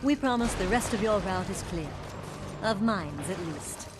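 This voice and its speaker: An actor playing a courteous, dryly wry plant-folk soldier in a video game. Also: male voice, sits high, expressive, a little slow. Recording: loud music behind.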